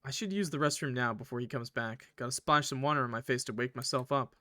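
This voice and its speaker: A man doing a visual novel let's play, reading the text aloud and reacting in an informal, expressive way. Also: mid-range voice, fast talker, no room sound. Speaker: gaming video creator.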